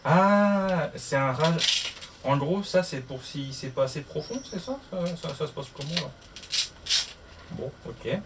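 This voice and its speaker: A man's voice: on the high side, lively intonation, takes it slowly. A man chatting casually to camera as a vlogger doing a hands-on tutorial, often muttering to himself as he works through the steps. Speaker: motorcycle vlogger. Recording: distant mic, clear background noise.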